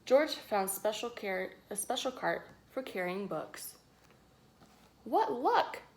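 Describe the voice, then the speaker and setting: A woman reading a picture book aloud to young children, expressively, pausing to ask them questions and add her own asides. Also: mid-range voice, expressive, darkish tone. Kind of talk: read-aloud storytelling with asides to young listeners.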